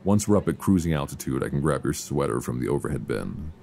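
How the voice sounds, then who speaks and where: Very deep, gravelly, soothing boyfriend played by a man. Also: animated, exaggerated voice. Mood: gentle, reassuring. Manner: soft, intimate, unhurried, soothing. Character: affectionate, reassuring young boyfriend on vacation. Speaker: ASMR roleplay voice performer. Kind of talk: ASMR boyfriend roleplay, intimate casual chat. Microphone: close binaural microphone. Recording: faint background noise, binaural.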